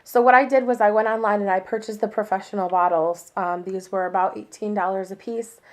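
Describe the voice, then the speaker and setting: A woman's voice: medium pitch, fairly even intonation, brisk. A young woman chatting casually about her favorite products, rambling with fillers and sounding a little worn from a lingering cold. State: recovering from a cold, voice a bit worn. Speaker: beauty vlogger. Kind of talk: casual monthly favorites review.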